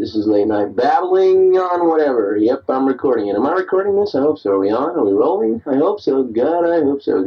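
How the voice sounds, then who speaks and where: A man's voice: medium pitch, husky, far from the mic, highs cut off. A man of thirty-one, a bit drunk after beers, rambling and self-deprecating as he records a late-night diary on an answering machine. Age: 30s. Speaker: diarist recording himself.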